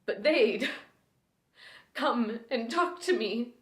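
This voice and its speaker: A woman performing a dramatic stage monologue as a reclusive widow who haltingly breaks a long silence, in short, pained phrases. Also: a little high, lively intonation, pitched above the performer's own voice, full character voice. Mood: pained, bitter. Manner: halting, short broken phrases, sighing, swinging from hushed to pained. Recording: roomy, echoing indoor sound.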